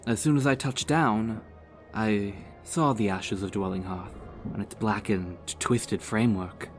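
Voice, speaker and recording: A man's voice: on the low side, a little slow, soft background music, voice pushed up, close to an everyday voice. A man voicing a loyal, good-humored male warrior speaking earnestly to an old friend.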